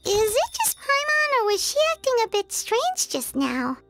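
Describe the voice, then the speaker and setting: A woman voicing a small, curious, chatty fairy companion with a squeaky childish delivery in a video game. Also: wildly swooping pitch, normal speed, a bit bright, faint music behind, heavily acted. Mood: curious, puzzled. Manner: refers to self in third person, squeaky childish delivery.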